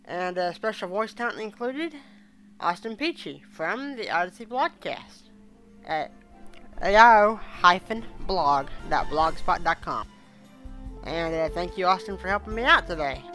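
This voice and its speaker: A woman hosting a fan podcast, giving a news-style report with a somewhat halting delivery. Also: on the low side, expressive, a bit bright, soft background music. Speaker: podcast host.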